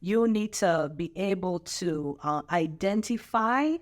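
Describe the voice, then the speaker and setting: Animated woman pastor giving advice. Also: fairly low pitch, slow pace. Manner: lively, coaching, repeats phrases for emphasis; preacherly scripture quoting. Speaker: pastor and show host. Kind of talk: casual advice chat.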